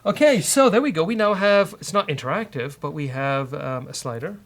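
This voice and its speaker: A man hosting a casual live-coding session, thinking aloud as a software developer. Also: a little high, expressive, a little fast. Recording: faint noise, keyboard typing.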